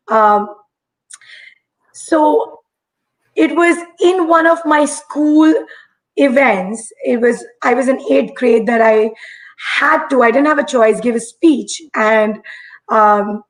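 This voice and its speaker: A young adult woman from India with a husky voice, a guest telling her story in an earnest, reflective way. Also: high-pitched, rough recording. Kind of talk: live-streamed conversation.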